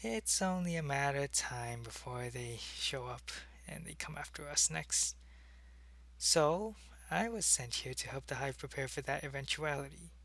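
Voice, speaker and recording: A man's voice: mid-range voice, expressive, moderate pace, gravelly, fairly bright tone. A man playing an earnest, secretive alien agent in an ASMR roleplay, talking to a human in a hesitant, explanatory way. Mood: grave, explanatory. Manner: hesitant, explanatory, intimate one-sided conversation. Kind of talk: ASMR roleplay, acted monologue to the listener.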